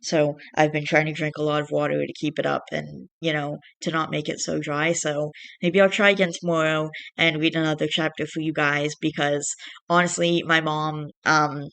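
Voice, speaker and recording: Young male channel host, raspy and dry-throated, bright and monotone, rambling chatter. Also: fairly high pitch, no echo. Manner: rambling, self-correcting chat. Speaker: channel host reading fiction. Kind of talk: storybook reading, then casual vlog chat.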